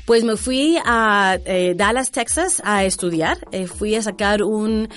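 A woman from Guatemala, a guest entrepreneur, answering interview questions about her life in a relaxed way with many fillers. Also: mid-range voice, slow.

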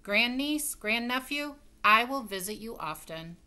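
Woman doing a slow, bright-voiced old great-aunt. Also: a little high, lively intonation, voice pushed up, exaggerated voice. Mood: pleased, declaring. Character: blunt, hungry old great-aunt in a storybook. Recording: webcam sound with faint noise.

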